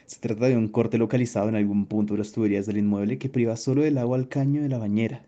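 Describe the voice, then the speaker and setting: An actor playing an earnest, long-winded plumber who explains things in a wordy, pedantic way in a radio drama. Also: male voice, lowish voice, fairly even intonation, fast talker, warm tone, lo-fi sound, close to an everyday voice. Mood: earnest, puzzled. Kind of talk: radio drama narrative monologue.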